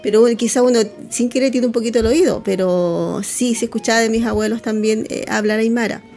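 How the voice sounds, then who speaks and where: A woman's voice: a little high, a little fast, darkish tone, faint music behind. A woman hosting a radio interview, encouraging and affirming her guests. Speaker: radio host.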